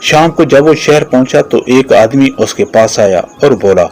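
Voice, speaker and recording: A man's voice: mid-range voice, animated, unhurried, a little mellow. A man narrating a scripted moral story for a children's cartoon.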